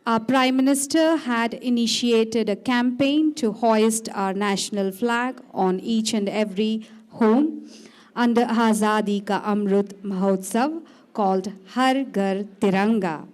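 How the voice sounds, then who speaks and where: A woman's voice: on the high side, a little slow, darkish tone. A woman giving a formal, earnest announcement at a school event.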